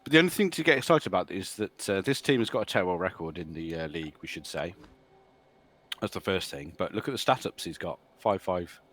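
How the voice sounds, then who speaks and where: A man's voice: deep voice, animated, a little fast, a bit bright. A man co-hosting a casual stream about a fantasy sports board game league, rambling and trailing off. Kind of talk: casual chat commentary.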